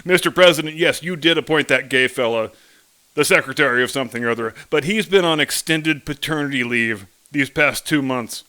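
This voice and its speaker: A man plays a male presidential aide in a comedy bit, deferential and patient. He explains things drily in a bright, fairly high, forceful voice. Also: brisk, clear, resonant tone. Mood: patient explaining.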